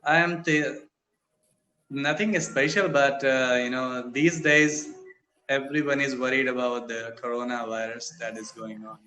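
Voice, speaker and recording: A man from India whose first language is Hindi, chatting on a video call in a halting way, full of pauses and tag questions. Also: medium pitch, steady tone, sharp tone, rough recording. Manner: hesitant, many fillers and restarts, tag questions. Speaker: student on a language app. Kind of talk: casual video-call conversation.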